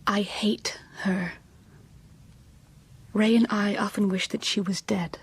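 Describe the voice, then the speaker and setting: A woman's voice: mid-range voice, fairly even intonation, slow, breathy edge. An actress playing a timid, oppressed woman of twenty-three, hesitant and submissive, in a radio drama. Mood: anxious, confiding. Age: 20s.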